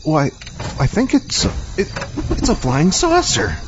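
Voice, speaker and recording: Earnest gee-whiz boyfriend, played by a man, bouncy pitch. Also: huge pitch swings, measured pace, very noisy. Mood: flustered. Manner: earnest, stammering when flustered. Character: naive, nervous, gee-whiz young boyfriend. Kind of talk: parody of old teen-movie romance. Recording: muffled lo-fi with music behind.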